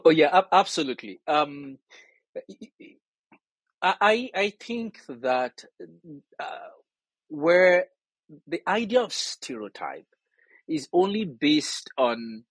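A man's voice: fairly high pitch, very animated, unhurried, pauses often, breathy edge, no room sound. A man with a Nigerian accent giving earnest, emphatic, conversational answers as an interview guest, telling anecdotes and acting out quoted dialogue. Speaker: interview guest, theatre artist and scholar.

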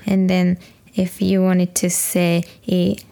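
A woman with a Japanese accent speaks slowly, with noticeable creak in her voice. She explains language casually as a guest, piece by piece, pausing between short examples. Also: fairly low pitch, completely flat delivery, dark tone.

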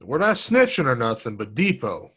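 A man's voice: medium pitch, wildly swooping pitch, moderate pace, fairly bright tone, husky. A man with an easygoing, laid-back manner, chatting on a podcast. He laughs often and drops casual swearing into his talk. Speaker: podcast co-host. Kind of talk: casual chat.

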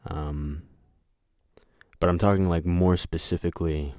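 Low-voiced young man, flat and rambling. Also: a little slow, slight background noise. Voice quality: monotone, flat voice. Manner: rambling, hesitant, many fillers. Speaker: podcast host. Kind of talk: casual solo podcast chat.

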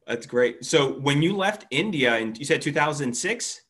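A man's voice: a little high, expressive, moderate pace, a bit bright. Man hosting an interview podcast. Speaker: podcast host. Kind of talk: conversational interview show hosting.

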